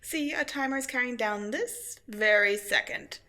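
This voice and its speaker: A woman playing a grandiose, scornful supervillain in an audio roleplay, gloating and taunting with drawn-out emphasis. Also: a little high, sharp tone. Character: theatrical female supervillain, gloating, scheming and scornful. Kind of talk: scripted audio roleplay acting.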